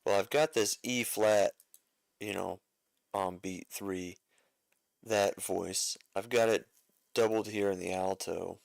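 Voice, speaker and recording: A man walks through an arranging lesson at an easy, slow pace, musing aloud and correcting himself as he goes. His voice is low and gravelly yet clear. The sound is close and dry. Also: steady tone, no room sound. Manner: thinks aloud, many fillers and self-corrections. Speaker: music arranger teaching. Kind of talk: casual screen-share music lesson.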